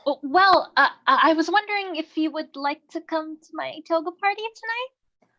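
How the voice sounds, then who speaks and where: A woman's voice: extremely high pitch, lively intonation, normal speed, pushed and bright, lo-fi sound, heavily acted. A woman playing a sweet, eager young goddess with a crush in a comic staged reading, earnest, hesitant and girlish. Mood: shy, hopeful.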